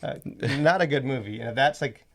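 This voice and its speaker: A man with a medium-pitched, creaky voice co-hosts a casual podcast. He talks in a loose, rambling, conversational way, circling through his opinions as a movie reviewer with plenty of filler words. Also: a little fast, a bit bright. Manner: rambling, filler-heavy, frequent "like".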